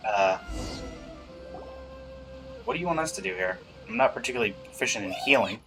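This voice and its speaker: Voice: mid-range voice, lively intonation, a little fast. This is a game streamer commenting casually during a live playthrough. The delivery is offhand and dry, with faint music behind it.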